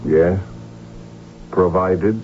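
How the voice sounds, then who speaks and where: Proud archduke, very low voice, formal and emphatic, impatient, devoted lover, old radio drama, dull lo-fi sound. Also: male voice, drawn-out words, slight background noise. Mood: impatient, curt. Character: proud, impatient middle-aged archduke, devoted to his beloved. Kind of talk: 1970s radio drama acting. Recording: muffled lo-fi radio broadcast with music.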